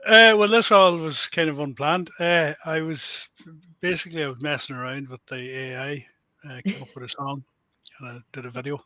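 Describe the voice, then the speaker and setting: A man from the northwest of Ireland with a Donegal Irish accent, chatting as a guest on a live stream over a video call, easygoing and quick to laugh. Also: a little high, fairly even intonation, bright, forceful tone, no echo. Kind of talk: casual chat. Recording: dull lo-fi call audio.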